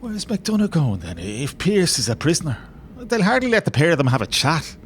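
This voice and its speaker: A man's voice: on the high side, big pitch swings, moderate pace. A man plays a restless, anxious Irish rebel in a historical audio drama. His raised voice swings widely as he questions things with sardonic, everyday speech, over faint noise. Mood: sardonic restlessness.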